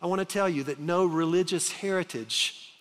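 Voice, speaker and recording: Earnest man preaching in a reverberant hall. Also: sits high. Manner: earnest, emphatic, with slow dramatic pauses and wide gestures. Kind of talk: sermon. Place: large church stage with reverb.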